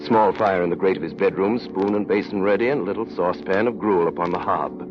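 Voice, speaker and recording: A man's voice: sits low, a little fast, lo-fi sound. A man narrating a story as a dramatic scripted 1930s radio broadcast. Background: loud music.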